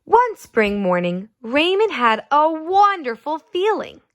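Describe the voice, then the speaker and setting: A woman reading a picture book aloud as a teacher, in a deliberate, expressive way meant for young children. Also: high voice, no room sound, clean studio sound. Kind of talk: expressive storybook read-aloud with questions to children.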